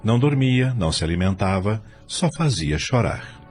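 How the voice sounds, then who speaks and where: A man with a Brazilian accent narrating an audio drama in a solemn, deliberate and dramatic scripted style. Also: lowish voice, animated, a little mellow, soft background music.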